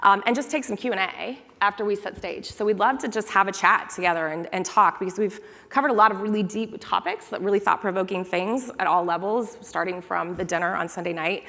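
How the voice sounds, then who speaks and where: A woman's voice: medium pitch, a little fast, vocal fry, hardly any pauses, sharp tone. Upbeat woman on a reverberant conference stage. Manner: upbeat, chatty, quotes lines playfully. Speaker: panel moderator. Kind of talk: conference panel talk. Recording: long reverb of a large hall.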